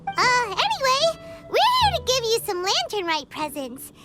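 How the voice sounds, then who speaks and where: Squeaky little fairy sidekick, super high, slow, big game music behind. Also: female voice, huge pitch swings, full character voice. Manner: refers to herself in third person. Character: small floating fairy companion, chirpy and excitable. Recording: game dub with loud background music.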